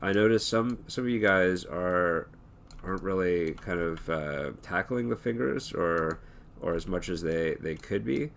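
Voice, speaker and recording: A hoarse man talking through an animation tutorial in a relaxed, thinking-aloud way, with many fillers and restarts. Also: low-pitched, unhurried, a little hiss or hum. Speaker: animation instructor. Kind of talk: screen-recorded tutorial talk.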